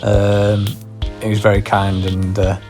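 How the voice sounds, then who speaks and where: A man's voice: lowish voice, completely flat delivery, measured pace, warm tone. A man hosting a podcast, giving a casual, hesitant introduction with lots of ums and uhs. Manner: hesitant, filler-heavy. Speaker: podcast host. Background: faint guitar music.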